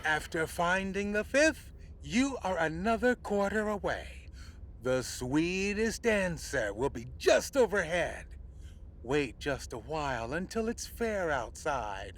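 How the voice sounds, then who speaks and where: Slow, mysterious man giving riddles. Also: on the high side, very animated, a bit bright, a little hiss or hum, exaggerated voice. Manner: measured, cryptic. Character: cryptic male riddle-giver, deliberate and mysterious. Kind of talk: audio drama acting.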